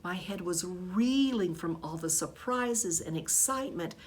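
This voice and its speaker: Female storybook reader, teacherly and expressive, unhurried, slightly low pitch, noticeable background noise. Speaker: teacher reading a children's book aloud.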